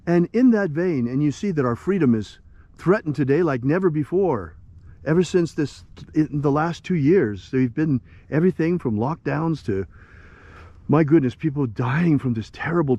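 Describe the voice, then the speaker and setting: A man's voice: fairly high pitch, warm tone, no echo, muffled recording. Impassioned older man preaching. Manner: impassioned, rising to indignant outbursts. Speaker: ritual leader. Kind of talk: preaching and leading a ritual prayer.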